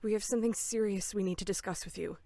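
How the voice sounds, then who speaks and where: A woman's voice: mid-range voice, quick pace, vocal fry, mellow, no room sound, natural voice. A woman playing an earnest, serious young female camp counselor in a horror audio drama, polite but insistent.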